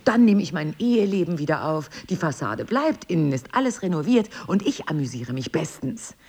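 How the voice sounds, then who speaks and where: An actress playing a wry, guarded, passionate middle-aged wife in an intimate audio drama, husky and breathy, with an ironic and emotional delivery. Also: female voice, lowish voice, darkish tone. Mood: mocking.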